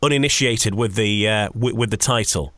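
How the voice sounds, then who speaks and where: A man's voice: lowish voice, normal speed, fairly bright tone, no echo. A man hosting a conversational radio interview, wording things in a rambling way and repeating words as he goes.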